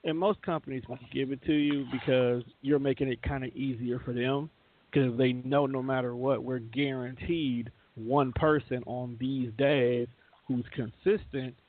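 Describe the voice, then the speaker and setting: A man's voice: medium pitch, fairly even intonation, a little slow, a little hiss or hum. Man chatting on tinny phone-like audio. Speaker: radio show host. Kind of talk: casual group chat. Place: internet radio show. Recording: narrow tinny phone-like sound.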